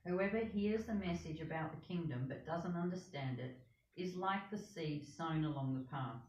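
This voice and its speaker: Low-voiced woman reading, distant and echoey. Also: moderate pace, a little mellow. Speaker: scripture reader. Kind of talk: reading scripture aloud. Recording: dull, echoey, distant with faint hiss.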